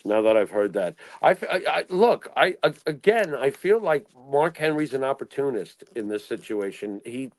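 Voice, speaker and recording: A man's voice: medium pitch, very animated, moderate pace, hardly any pauses, a little mellow, dry recording. A middle-aged man co-hosting a casual talk show, blunt and exasperated, swearing and firing off rhetorical questions. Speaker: wrestling talk show co-host. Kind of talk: casual opinionated panel chat.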